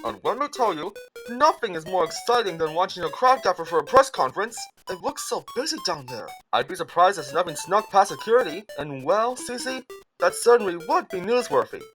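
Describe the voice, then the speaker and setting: Woman as an animated cartoon news announcer. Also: on the low side, brisk, faint music behind, rough recording. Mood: breezy. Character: chirpy helicopter news reporter and announcer. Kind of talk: mock news report in cartoon fandub.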